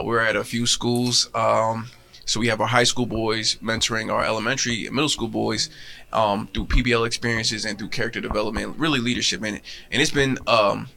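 An American man with a gravelly voice, hosting a podcast in a casual conversational style. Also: lowish voice, sharp tone. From Detroit, United States. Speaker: podcast host.

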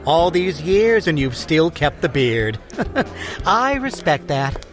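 A man acts out an oily, leering businessman in an audio drama. He talks slowly in a high voice that slides up and down with unctuous relish. Music plays clearly behind him. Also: big pitch swings. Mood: needling. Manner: unctuous, drawling, chuckling. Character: smarmy, chuckling, leering male business magnate.

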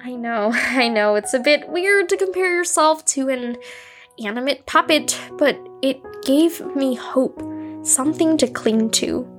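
A woman's voice: high voice, animated, unhurried. A young woman playing a troubled, wistful psychiatric patient in an audio drama, talking in an earnest, wry, confessional way. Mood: bitter, yearning. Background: music.

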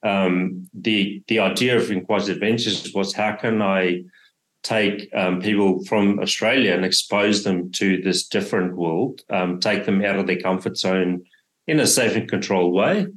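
A low-pitched man from South Africa, in his forties, whose first language is Afrikaans. He talks through his story loosely, full of fillers and restarts. His voice carries a noticeable room echo. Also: normal speed. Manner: relaxed, many fillers and restarts. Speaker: podcast guest. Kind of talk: casual storytelling. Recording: roomy echo.

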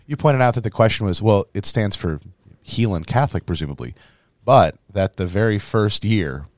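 Hoarse male priest co-host, low voice, chatty storyteller, dry close mic. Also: animated, normal speed, darkish tone, no echo. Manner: rambling, storytelling with laughs. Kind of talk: casual chat.

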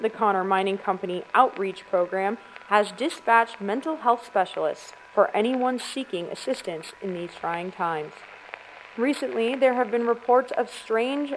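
A woman's voice: on the high side, slight background noise, natural voice, rough recording. A woman acting a composed public radio host reading a formal, scripted news report in an audio drama. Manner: measured, formal, announcer-like.

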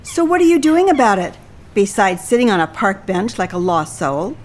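An actress playing a blunt, commanding seventy-one-year-old Army veteran who barks clipped orders in a stage play. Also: female voice, mid-range voice, lively intonation, moderate pace, natural voice. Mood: blunt encouragement. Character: brisk, bossy 71-year-old woman, ex-Army fitness drill-sergeant. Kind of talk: scripted two-person stage comedy-drama.

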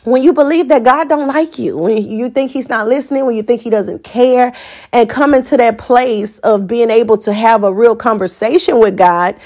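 A woman's voice: a little high, normal speed, a little mellow. A woman in her forties with an American accent, hosting a podcast as an unscripted, candid and colloquial personal monologue. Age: 40s. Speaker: podcast host.

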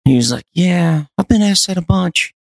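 Man, middle-aged, guest singer, animated, admiring, higher-pitched, dry studio sound. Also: moderate pace, no room sound. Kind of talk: casual conversation.

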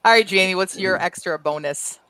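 A woman hosting a podcast in casual chat. She is upbeat and encouraging, with a middle-pitched voice and a dry, close sound. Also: fairly quick, bright, forceful tone, smooth, no echo. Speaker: podcast host.

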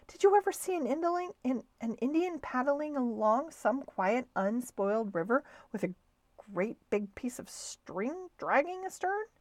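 A woman voicing a tiny, fussy mouse gentleman who talks in a despairing, pleading way. She raises her voice well above her own for him. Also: high voice, sing-song, measured pace, no room sound, voice pushed up.

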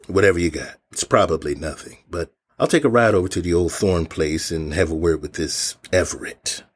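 An actor playing a calm, sceptical police chief with an informal, measured way of talking in an audio drama. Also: male voice, low-pitched, husky. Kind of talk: naturalistic audio drama acting.

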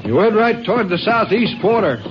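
An actor playing an earnest, helpful small-county sheriff, plain-spoken and folksy, in 1950s western radio drama style. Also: male voice, high voice, lively intonation, normal speed, music in the background. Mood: brisk, helpful. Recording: dull, clearly noisy vintage radio recording.

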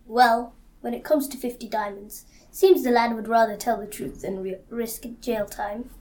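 A woman's voice: fairly high pitch, moderate pace, a little mellow, lightly acted. A young woman playing a stern, sceptical police interrogator in an amateur audio drama. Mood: accusing.

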